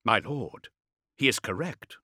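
A man voicing a dutiful, respectful castellan in an audiobook, reporting briskly and urgently like a soldier. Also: mid-range voice, very animated, sharp tone, breathy edge, no echo, clean studio sound, full character voice. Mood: respectful urgency. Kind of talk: audiobook character acting.

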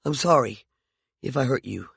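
A man's voice: mid-range voice, animated, normal speed, creaky, a bit bright, slightly rough, no room sound, exaggerated voice. A male narrator voicing a jealous, volatile man who swings from mockery to heated pleading. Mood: contrite. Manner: heated, mocking and intense.

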